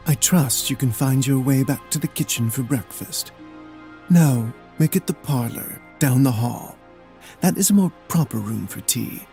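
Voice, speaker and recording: A man's voice: medium pitch, measured pace, creaky, mellow, faint music behind. A man playing an aloof, solitary lord of an icy castle in an audio drama, speaking in a curt, formal and exacting way.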